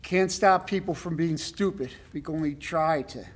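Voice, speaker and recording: A man with a loud voice giving a rambling, emphatic public comment at a town meeting, with many fillers. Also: on the high side, normal speed. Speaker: resident speaking at public comment.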